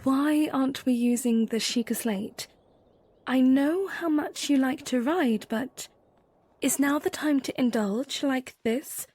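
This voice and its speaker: A woman playing an earnest, gentle young princess in an audio drama, speaking in a soft, tentative, measured manner. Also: high-pitched, husky, no echo, heavily acted. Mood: gentle, questioning.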